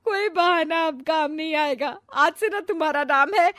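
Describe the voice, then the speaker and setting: A woman's voice: sits high, normal speed, a bit bright, dry recording, clean studio sound. A woman acting a boastful, mocking elder sister-in-law who scares easily, with exaggerated comic delivery. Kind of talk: Hindi comic family story acting.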